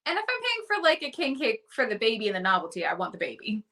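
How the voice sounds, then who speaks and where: A woman with a Baltimore accent co-hosting a podcast in casual chat, rambling with many "like"s and quick self-corrections. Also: on the high side, huge pitch swings, pushed and bright. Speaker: podcast co-host.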